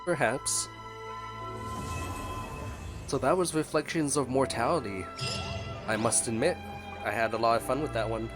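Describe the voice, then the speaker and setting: A man's voice: medium pitch, a little slow, pauses often. A man giving casual, chatty, playful reaction commentary as a gaming streamer. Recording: game music behind the voice. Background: game music.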